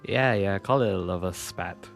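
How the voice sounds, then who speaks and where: A man's voice: sits low, moderate pace, gravelly, soft background music. A man giving casual, muttered gameplay commentary as a streamer, with sighs and swearing. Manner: muttering, sweary, sighing reactions. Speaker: game streamer.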